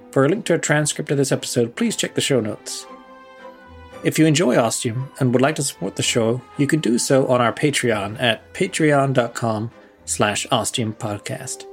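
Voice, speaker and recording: A man reading scripted narration as a podcast host, with music behind his voice. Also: mid-range voice.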